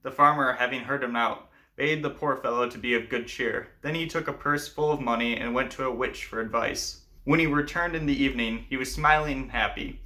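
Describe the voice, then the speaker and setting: Male storyteller-host, mid-range voice with a bright, tense edge, for folklore narration and commentary. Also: medium pitch, fairly quick, few pauses. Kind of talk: scripted fairy tale narration, then explanatory commentary.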